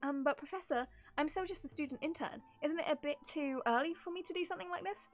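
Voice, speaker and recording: A woman's voice: high voice, fast, faint music behind, voice pushed up, natural voice. A woman voicing a shy, smitten young student from a game story, nervous and pleading. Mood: flustered.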